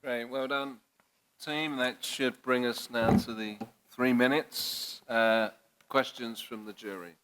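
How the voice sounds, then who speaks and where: A man moderating a competition session, moving things along to the jury questions. He speaks slowly at middle pitch. Clear background noise and clicks sit under his voice. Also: fairly even intonation. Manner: brisk, procedural.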